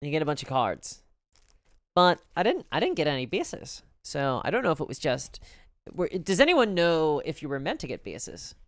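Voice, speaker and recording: A woman with a Belfast accent, chatting casually and candidly as a live streamer in an animated, conversational way. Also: deep voice, moderate pace, no echo, studio quality. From Northern Ireland. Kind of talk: casual chat to a live audience.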